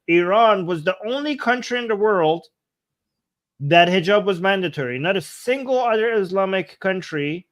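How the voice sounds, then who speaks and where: A man's voice: fairly high pitch, no room sound. Man co-host, emphatic, dry mic. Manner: animated, emphatic, with frequent "like". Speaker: co-host of a news talk show. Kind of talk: casual discussion commentary.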